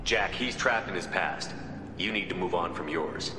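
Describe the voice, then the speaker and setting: A man playing a steady, reasoning military squad leader in a video game, firm and direct in delivery. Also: low-pitched, moderate pace, music in the background, close to an everyday voice. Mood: firm, persuading. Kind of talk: video game cutscene acting. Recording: echoey hall, faint background noise.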